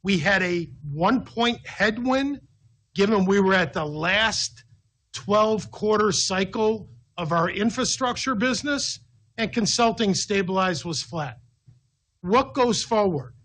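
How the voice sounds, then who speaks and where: High voiced guy, exec on an earnings call, methodical n emphatic, walking thru numbers. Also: lively intonation, slow, a bit bright. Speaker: company finance executive on an earnings call. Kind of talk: business briefing, answering an analyst with numbered points.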